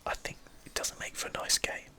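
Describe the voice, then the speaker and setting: A man speaks in a breathy, unvoiced whisper with a very bright, crisp edge. He comments casually on a game as an ASMR streamer, rambling along without hurry. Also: fairly quick.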